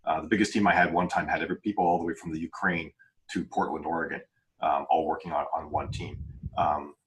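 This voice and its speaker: A man's voice: very deep voice, little pitch movement, fast talker, low-quality recording. A man in casual panel chat, thinking aloud in a rambling, explanatory way.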